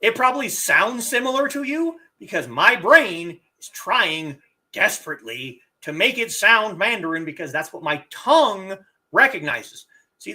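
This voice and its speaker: A middle-aged martial arts teacher chatting casually on a live stream, reading viewer comments and sounding out words with animated emphasis. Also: medium pitch. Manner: animated, drawn-out demonstrations of word sounds.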